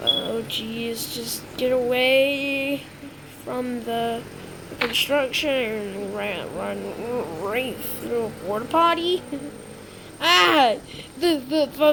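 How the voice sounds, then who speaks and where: A woman improvising a disaster roleplay for a game video, speaking haltingly with repeated words and stumbles. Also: medium pitch. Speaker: gamer recording a roleplay video. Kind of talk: improvised disaster roleplay with mock alert announcements. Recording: clearly noisy background.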